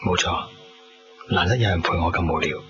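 Low-voiced young Hong Kong lover, acted by a man. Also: brisk, close to an everyday voice. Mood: wistful. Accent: Hong Kong Cantonese. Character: young man in love, tender and wistful. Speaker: radio drama actor and narrator. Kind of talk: 1990s radio drama dialogue and narration. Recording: dull lo-fi radio recording with music. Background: prominent music.

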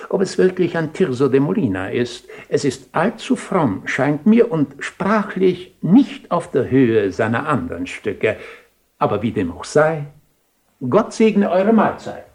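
An actor playing a worldly, eloquent bishop in a radio play, with a courtly, slightly pompous and wry manner. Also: male voice, a little high, very animated, measured pace, darkish tone. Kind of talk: 1970 radio play acting.

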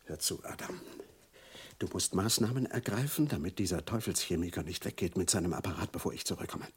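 A man's voice: low-pitched, expressive, fairly quick, husky, heavily acted. An actor playing a vain, nervous literary man in a German radio play, blustering and self-important. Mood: hushed, urgent.

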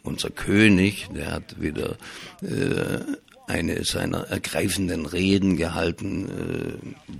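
Male radio host, deep and husky, heavily creaky, delivering dry ironic political chat. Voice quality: gravelly, husky. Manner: wry, ironic. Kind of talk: casual political commentary.

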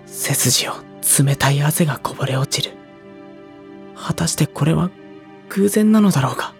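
A young man playing a sensitive, anxious high school boy in dramatized first-person narration. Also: lively intonation, moderate pace, vocal fry, soft background music. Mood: uneasy, shaken. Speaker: narrator and character.

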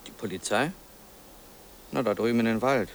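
A man's voice: medium pitch, moderate pace, a little hiss or hum, close to an everyday voice, poor audio. An actor playing an offhand local man who shares grim gossip in a radio drama. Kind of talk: German radio drama acting.

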